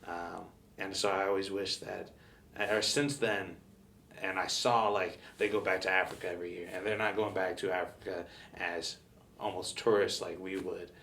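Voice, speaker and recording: Flat-voiced young American man, distant. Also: low-pitched, normal speed, creaky, slight background noise. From Las Vegas, Nevada. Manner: reflective, frequent "like" and restarts. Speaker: interviewer, son. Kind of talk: casual family conversation.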